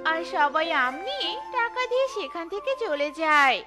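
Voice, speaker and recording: A woman's voice: very high-pitched, sing-song, moderate pace. A woman narrating a scripted children's cartoon story. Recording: loud music over the voice.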